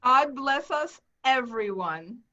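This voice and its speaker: A sweet, excitable little boy in a storybook drama, acted by a woman. The voice is high and very lively, full of wide-eyed wonder, over slightly muffled video-call audio. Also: takes it slowly, full character voice. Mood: tender. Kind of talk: amateur table read of a classic story.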